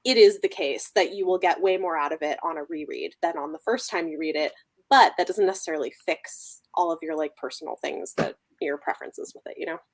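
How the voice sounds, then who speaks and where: A woman with a slightly low voice talks casually as host of an online book chat. She hedges conversationally as she goes, often checking in with a "you know". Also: a little fast. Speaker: host of a book discussion livestream. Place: online group video call.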